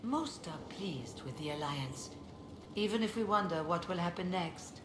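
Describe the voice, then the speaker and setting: A woman voicing a weary, dignified mage leader in a video game, speaking in a measured, formal, reflective way. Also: fairly low pitch, lively intonation. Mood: grave, measured. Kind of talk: video game dialogue acting. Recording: roomy echo, clearly noisy game capture.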